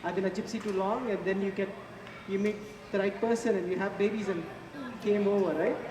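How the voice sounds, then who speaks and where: A man's voice: high-pitched, steady tone. Indian-accented male chef in an echoey, noisy space. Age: 40s. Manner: chatty, informal, halting delivery. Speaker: chef giving a cooking demonstration. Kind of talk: casual live cooking demonstration. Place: large echoey event space before an audience. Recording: echoey, noisy public hall, distant mic.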